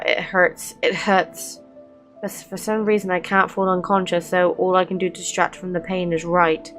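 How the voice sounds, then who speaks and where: Female playthrough host. Slightly low pitch, informal, acts out written lines lightly over soft game music. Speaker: gaming channel host. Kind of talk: playthrough commentary, reading game dialogue aloud.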